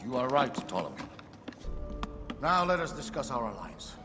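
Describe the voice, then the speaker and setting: A man playing a commanding, worldly Roman ruler in a video game, stately, deliberate and wry. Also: mid-range voice, sing-song, music in the background, natural voice. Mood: wry authority. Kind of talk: video game dramatic acting. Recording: reverberant hall, faint background noise.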